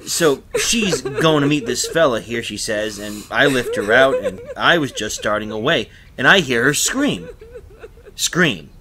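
Man acting a rattled, folksy fellow, wildly swooping pitch. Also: sing-song, a crowd in the background. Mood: flustered. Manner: flustered, colloquial, halting. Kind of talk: retro radio drama acting.

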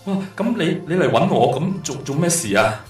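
A man with a Hong Kong Cantonese accent playing a stingy, sharp-tongued middle-aged boss in a radio drama. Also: fairly high pitch, expressive, normal speed, close to an everyday voice. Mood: terrified. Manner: brusque, dismissive, stammers when frightened. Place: small padded radio studio. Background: music.

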